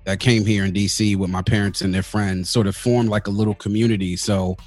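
A man from the Washington DC area, a podcast guest telling stories about growing up in a relaxed, casual way with street slang. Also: fairly low pitch, brisk, faint music behind. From Washington DC area, United States. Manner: relaxed storytelling, uses slang like "yo" and "big up". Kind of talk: casual conversational interview.

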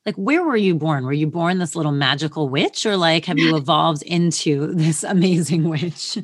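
An animated woman host with a notably low voice. She chats with eager emphasis and fills her sentences with "like". Her talk is casual and excited. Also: normal speed, slightly rough. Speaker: podcast host. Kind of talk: enthusiastic interview chat.

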